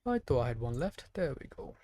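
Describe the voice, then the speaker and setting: A man's voice: medium pitch, sing-song, brisk, mellow. A man giving casual live gameplay commentary, chatting playfully to the characters and enemies as he plays. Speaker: video game streamer.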